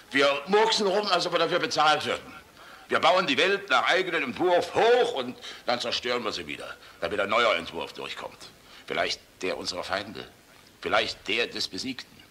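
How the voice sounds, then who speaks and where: A man's voice: lowish voice, breathy edge, exaggerated voice. An actor in a German radio play voicing an old, world-weary, passionate general, blustering and fatherly in a rhetorical manner. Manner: blustering, rhetorical, swinging from outbursts to hushed musing. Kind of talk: German radio drama acting.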